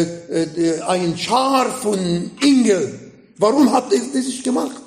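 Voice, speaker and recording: A man with an Arabic accent preaching a sermon in slow, emphatic phrases that rise sharply in pitch. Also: a little mellow, reverberant. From Middle East. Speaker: pastor.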